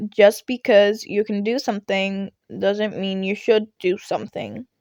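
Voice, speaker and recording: Woman as a weary, deadpan male teacher. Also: medium pitch, measured pace, no echo, clean studio sound, close to an everyday voice. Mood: exasperated. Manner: flat, curt, exasperated. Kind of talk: podfic character voice.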